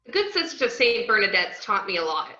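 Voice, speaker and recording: A roomy, slightly dull recording of a brash, unhinged young antiheroine, played by a woman in a table read. She tells her own story in a bright, cheeky voice that swoops all over. It is bubbly and expressive. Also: medium pitch, brisk. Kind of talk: first-person scripted narration in an amateur table read. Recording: roomy, muffled online call audio.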